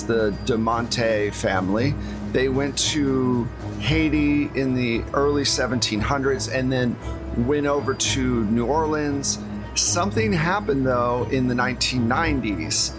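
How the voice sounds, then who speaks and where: A man with a slightly higher than average voice hosts a tabletop game as game master. He talks casually, telling the story so far as a spoken recap, with music playing clearly behind him.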